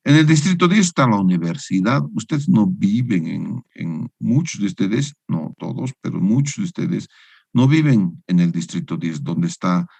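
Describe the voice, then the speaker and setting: A man's voice: low voice, lively intonation, normal speed, creaky, darkish tone, breathy edge, no echo. A man giving an online engineering lecture to university students. He teaches in a didactic way and keeps checking in with tag questions. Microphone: video call.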